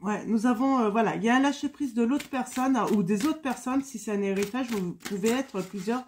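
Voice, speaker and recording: A woman's voice: a little high, brisk, darkish tone, a little hiss or hum. A woman with a cold, giving a casual spoken tarot reading with many fillers and repetitions. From France. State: has a cold. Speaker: tarot card reader.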